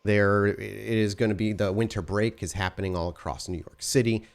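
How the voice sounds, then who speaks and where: A man's voice: fairly low pitch, fairly even intonation, moderate pace, creaky. A man running a tabletop role-playing game as storyteller, describing scenes and asking the players questions. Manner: descriptive, asks players questions with many fillers.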